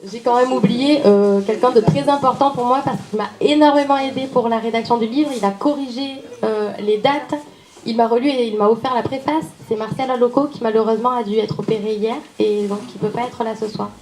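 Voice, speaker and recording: A woman's voice: a little high, fairly even intonation, a little fast, a little mellow, poor audio. A woman from Nice, an author presenting her book and thanking people in a frank, slightly hesitant speech. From Nice, France. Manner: frank, a little hesitant, stumbling over names.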